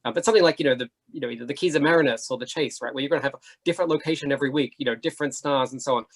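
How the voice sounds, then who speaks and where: Male panelist, rapid and animated conversational delivery, slightly rough-edged voice. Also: medium pitch. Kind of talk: casual panel discussion.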